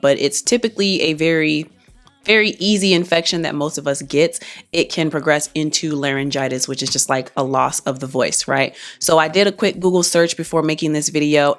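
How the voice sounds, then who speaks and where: A young woman with a low voice talks straight to her viewers in a relaxed, chatty way. She is a singer, and she is still getting her speaking voice back. She explains things plainly and reads some notes aloud. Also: normal speed. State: recovering voice, partly lost speaking voice. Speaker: singer and vlogger. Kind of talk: casual talk to camera.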